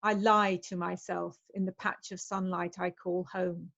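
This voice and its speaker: A woman reads poetry slowly and deliberately. The sound is close and dry, without room echo, and slightly dulled as if on a lo-fi recording. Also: on the low side, flat delivery, gravelly, a bit bright. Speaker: amateur poet reading aloud. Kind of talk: measured poetry recital. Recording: dull, muffled, dry recording.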